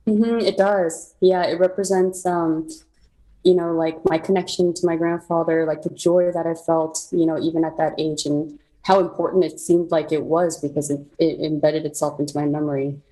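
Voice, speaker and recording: Young woman guest, low mellow voice, thoughtful, lots of ums. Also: little pitch movement, moderate pace, poor audio. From South Korea. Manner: reflective, many ums and soft laughs. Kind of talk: personal storytelling.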